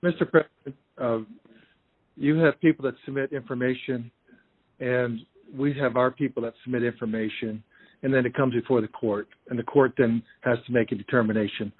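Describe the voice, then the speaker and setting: An American man speaking as an official on a formal phone call, measured, polite and firm. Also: mid-range voice, steady tone, slightly rough. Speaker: state election official. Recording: muffled, roomy phone line recording.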